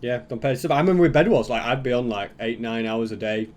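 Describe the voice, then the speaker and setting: A man's voice: medium pitch, quick pace. A man giving casual reaction commentary as a YouTube host, rambling and thinking aloud as he goes.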